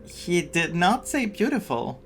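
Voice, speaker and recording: A man's voice: high voice, big pitch swings, unhurried. A man giving casual playthrough commentary as a game streamer, sometimes reading dialogue aloud. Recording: game music faintly behind.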